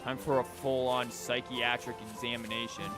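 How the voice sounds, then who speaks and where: Man streaming games over loud music. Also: mid-range voice, little pitch movement, normal speed. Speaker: game streamer. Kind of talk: casual gameplay commentary. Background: game music.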